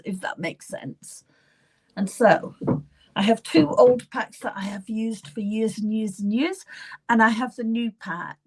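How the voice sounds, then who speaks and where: A middle-aged woman hosting a live psychic reading session, chatting gently with viewers by name, her voice still a little croaky and at times falling to a whisper. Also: on the high side, a little hiss or hum. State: slightly croaky voice. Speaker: psychic medium.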